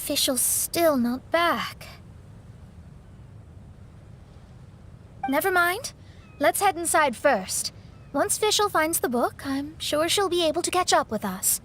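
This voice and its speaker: A woman's voice: high-pitched, lively intonation, measured pace, a bit bright, slight background noise. A woman voicing a poised, analytical young female adventurer with a thoughtful manner in a video game. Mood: decisive. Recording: music behind the voice.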